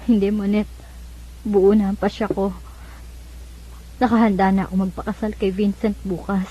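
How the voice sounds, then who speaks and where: A woman's voice: medium pitch, flat delivery, normal speed, dark tone, breathy edge, lightly acted. An actress with a Filipino accent playing a determined, scheming young woman resigned to a loveless marriage in a radio drama. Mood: resigned, resolved. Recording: dull lo-fi radio broadcast.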